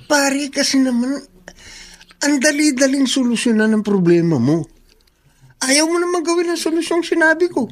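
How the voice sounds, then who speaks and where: A blunt male buddy in a radio drama, with a very high voice for a man. He nags and advises in exasperated, swooping phrases. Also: moderate pace, long pauses. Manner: animated, nagging. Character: blunt, exasperated male best friend giving advice. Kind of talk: Filipino radio drama acting.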